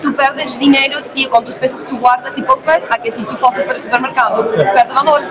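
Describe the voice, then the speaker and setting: A woman's voice: high voice, fairly even intonation, brisk, over loud music. A woman from Argentina with an Argentine Spanish accent, a native Spanish speaker chatting casually as a guest in a mix of Portuguese and Spanish. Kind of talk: casual chat. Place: conference floor. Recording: live event with loud crowd. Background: loud crowd chatter.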